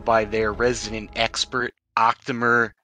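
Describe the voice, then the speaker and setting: A man with a bright, forward voice runs a tabletop game. He narrates in a casual way and slips into irreverent, joking banter. Faint music plays behind him. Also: fairly low pitch, flat delivery, unhurried. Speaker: game master.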